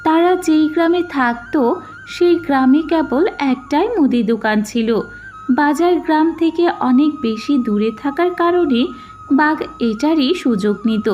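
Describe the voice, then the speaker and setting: A woman's voice: sits high, expressive, moderate pace, darkish tone, dull audio, soft background music. A woman narrator reading a scripted children's story.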